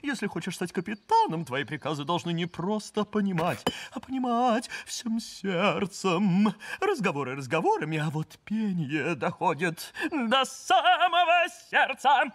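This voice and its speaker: An actor playing a grandiose cartoon pirate boatswain who teaches singing and is prone to drawn-out operatic notes. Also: male voice, high voice, big pitch swings, fairly bright tone, no room sound, heavily acted. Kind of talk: cartoon voice acting.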